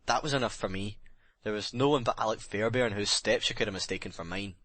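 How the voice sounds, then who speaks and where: A man's voice: on the low side, fast talker, a bit bright, dry recording. A man playing a bitter, remorseful sailor making a brooding, emphatic confession in a dramatic audiobook reading.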